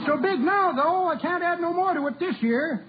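An actor playing a folksy old joking codger with a rustic, ungrammatical drawl in an old radio comedy, on a noisy muffled recording. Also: male voice, extremely high pitch, normal speed. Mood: jovial, folksy. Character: folksy old codger full of tall jokes. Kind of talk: 1940s-50s radio comedy acting.

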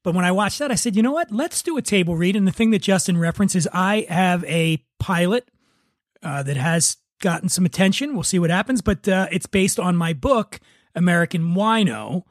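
A man's voice: high-pitched, expressive, normal speed, dry recording, clean studio sound. A man hosting a casual comedy podcast, chatting with a joking, teasing manner. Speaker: podcast host.

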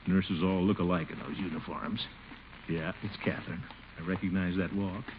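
An actor playing a pompous, blustery middle-aged uncle with a theatrical, chuckling delivery in 1940s radio comedy style. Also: male voice, low voice, steady tone, moderate pace, natural voice. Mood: musing. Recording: dull, noisy old radio recording.

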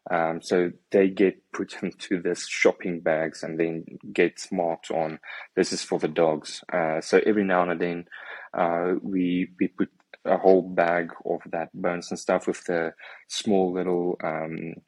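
A man with a South African accent whose first language is Afrikaans, talking casually about hunting and pausing often with ums and uhs. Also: low-pitched, flat delivery, a little mellow, no echo, rough recording. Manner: hesitant, many ums and uhs. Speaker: guest hunter. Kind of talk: casual conversation.

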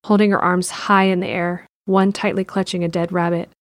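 A woman reading scripted narration for an audio drama in an even, descriptive way. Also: fairly low pitch, brisk, no echo.

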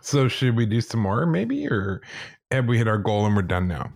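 A man's voice: on the low side, big pitch swings, moderate pace, creaky, a little mellow. A man co-hosting a podcast with casual, joking banter. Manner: informal, joking. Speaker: podcast co-host.

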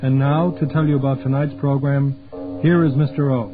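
A man's voice: medium pitch, little pitch movement, moderate pace. A man announces a radio theater show in a theatrical, scripted style. His mid-range voice comes through a narrow, phone-like band with prominent music underneath. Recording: very muffled, phone-like sound.

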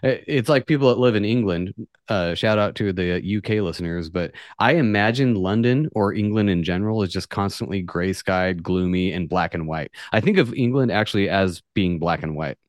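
A man's voice: sits low, normal speed, dry recording, studio quality. A man from the United States hosting a podcast in a casual, blunt, joking and sweary way. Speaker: podcast host.

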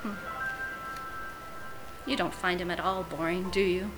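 A woman's voice: lowish voice, fairly even intonation, unhurried, music in the background, close to an everyday voice, rough recording. A woman playing an arch, pointed middle-aged wife who coolly needles her friend in an audio drama. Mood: cold, accusing.

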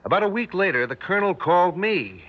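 An actor playing a shaken, anxious scientist recounting a horror in urgent, halting 1960s radio drama style. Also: male voice, high-pitched, sing-song. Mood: haunted, recounting. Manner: urgent, haunted, halting delivery. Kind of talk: 1960s radio drama acting, narration and dialogue. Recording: dull vintage 1960s radio broadcast.

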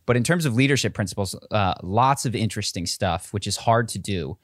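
A man co-hosting a podcast, telling a story in casual chat with an enthusiastic, quick way of talking. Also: fairly low pitch, expressive, no echo, clean studio sound. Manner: enthusiastic storytelling, quick asides, laughs easily. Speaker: podcast co-host.

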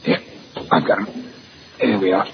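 Noisy old radio recording, decisive detective, very low voice, cool manner. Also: male voice, a little slow, vocal fry, lo-fi sound. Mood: brisk. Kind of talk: 1940s radio mystery acting.

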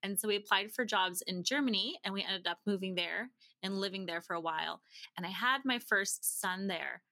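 A woman telling casual anecdotes as a podcast guest, bubbly and expressive. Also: mid-range voice, normal speed. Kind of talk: casual anecdotal interview chat.

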